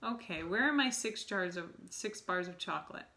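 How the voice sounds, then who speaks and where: A woman voicing a greedy, rude spoiled boy who shouts his demands. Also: mid-range voice, expressive, normal speed. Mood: demanding.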